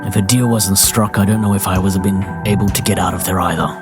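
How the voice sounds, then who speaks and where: Man playing a guarded adventurer, low voice. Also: fairly even intonation, fast, loud music behind the voice. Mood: earnest. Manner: serious, plain-spoken. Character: guarded, earnest male adventurer, measured and wary.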